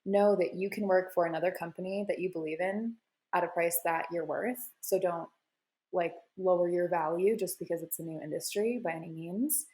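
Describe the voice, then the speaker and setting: A woman's voice: fairly low pitch, moderate pace, dark tone, poor audio. A woman answering interview questions in a casual, confident way, full of "you know" fillers. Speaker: guest, crypto media founder.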